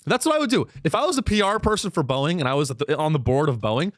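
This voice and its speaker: A man's voice: sing-song, quick pace, dry recording, clean studio sound. A man hosting his own podcast in a rambling, irreverent comedic monologue, swearing freely, his voice wearing thin as he loses it. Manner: rambling, irreverent, swearing, with sudden high pitch jumps. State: losing his voice. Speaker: podcast host.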